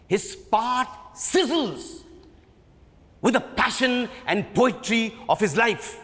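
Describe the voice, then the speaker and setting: Man acting a fiery, high-pitched Indian theatre director. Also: bright, forceful tone, heavily acted. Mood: impassioned. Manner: declamatory, emphatic, theatrical, with dramatic pauses. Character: fiery, imperious male theatre director haranguing his actors. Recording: reverberant hall.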